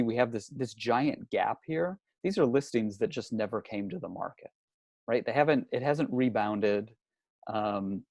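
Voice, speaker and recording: A man's voice: lowish voice, darkish tone, dry recording. A man presenting a live webinar from a hotel room, talking through slides in a conversational way and often repeating words and restarting. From not from New Zealand. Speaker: real estate industry analyst presenting a webinar.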